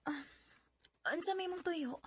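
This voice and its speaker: A woman's voice: very high-pitched, unhurried, no echo. An actress playing a young woman reluctantly turning down a suitor, hesitant, with a Bisaya accent, in a Filipino radio drama. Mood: reluctant, guarded.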